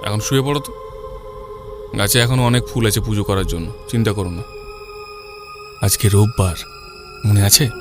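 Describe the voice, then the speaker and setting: A man's voice: fairly low pitch, steady tone, normal speed, pauses often, music in the background, close to an everyday voice. A man playing a young husband in an audio story, by turns soothing and dismissive. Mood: drowsy, dismissive. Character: reassuring, slightly impatient young husband. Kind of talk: audio story dramatic acting.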